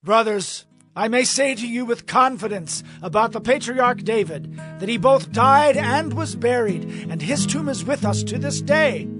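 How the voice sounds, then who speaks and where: An actor playing Peter, a bold, fervent apostle addressing a crowd in a declamatory raised voice in a scripture audio drama. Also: male voice, very high voice, expressive, unhurried, music in the background. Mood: fervent, confident.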